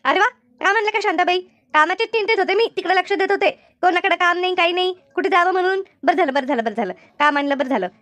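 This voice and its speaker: A woman voicing a jumpy, eager farm labourer in a village cartoon, excitable and repetitive, with a rural Maharashtrian Marathi accent. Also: very high voice, quick pace, no room sound. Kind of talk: cartoon comedy dialogue.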